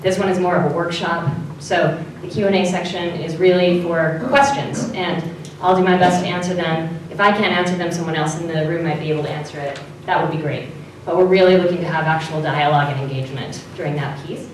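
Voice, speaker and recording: A woman facilitator speaking loudly and in an organized way as she walks a public meeting through its plan. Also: lowish voice, fairly even intonation, a little fast, slight background noise. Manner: loud, clear and organized. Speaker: facilitator presenting an assessment. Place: large meeting room. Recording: echoey hall from far off.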